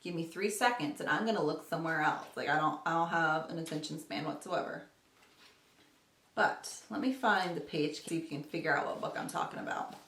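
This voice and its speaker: A woman's voice: low voice, brisk, a bit bright. A young adult woman chatting casually and enthusiastically to camera as a book vlogger, with gushing, emphatic delivery. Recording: roomy, echoing home recording.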